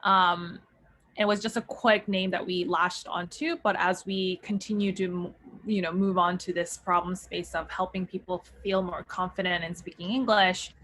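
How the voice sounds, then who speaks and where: A woman's voice: lowish voice, fairly even intonation, normal speed, fairly bright tone, rough recording. Chatty young woman from South Korea. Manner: chatty, many ums and restarts. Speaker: startup founder and CEO, interview guest. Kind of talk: casual interview answers.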